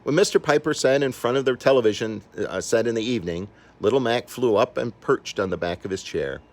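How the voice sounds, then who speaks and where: An older man reading a children's story aloud in an expressive, animated way, acting out the characters. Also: mid-range voice, brisk. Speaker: storybook reader.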